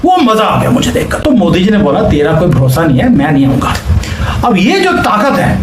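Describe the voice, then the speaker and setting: A man's voice: high voice, wildly swooping pitch, a little fast. A man giving casual, conversational commentary in reaction to the news. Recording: echoey space with crowd noise. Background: crowd.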